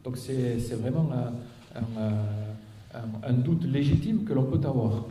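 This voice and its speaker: Male local official explaining a plan methodically to a meeting; very mellow tone, distant and reverberant in an auditorium. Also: mid-range voice, measured pace, a little hiss or hum. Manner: didactic, step-by-step, with check-in tags and rhetorical questions. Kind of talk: public presentation, explanatory talk. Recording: echoey auditorium, picked up from a distance.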